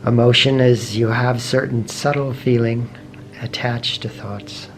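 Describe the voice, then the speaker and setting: A man leading a guided meditation in a gentle, measured way, pausing often between short phrases. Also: mid-range voice, steady tone, slight background noise. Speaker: meditation teacher. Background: soft meditation music.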